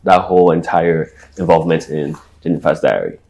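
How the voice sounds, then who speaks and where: A male talk show host with a very low voice. He asks his guest curious, measured questions in a calm interviewing manner. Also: gravelly.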